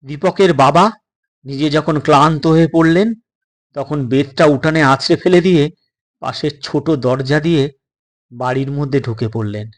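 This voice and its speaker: A man narrating a suspense story in a dramatic, deliberate way, breaking sentences into short phrases with pauses. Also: on the high side.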